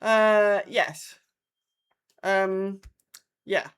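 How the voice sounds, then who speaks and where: A woman's voice: medium pitch, steady tone, very slow, clean tone. An English woman co-hosting a podcast in casual chat, hesitant and wry, often correcting herself. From England. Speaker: podcast co-host. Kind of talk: casual chat, reading listener updates.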